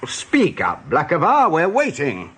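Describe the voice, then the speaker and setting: A rabbit captain in a radio drama, genial yet menacing. His husky, slightly high voice leaps around in pitch as he briskly gives orders with mocking joviality. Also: wildly swooping pitch, fairly bright tone, full character voice. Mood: stern.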